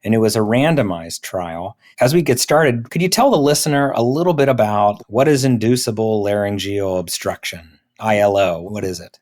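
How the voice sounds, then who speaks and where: Low-pitched man hosting an interview. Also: animated, normal speed, hardly any pauses, darkish tone. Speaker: podcast host, doctor.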